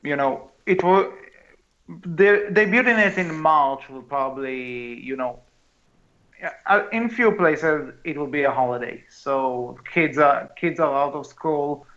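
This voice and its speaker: A man's voice: measured pace, fairly bright tone. A man co-hosting a podcast in casual discussion, speaking in hesitant, stop-start phrases. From outside the United States. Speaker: podcast co-host. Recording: dull, low-fidelity mic.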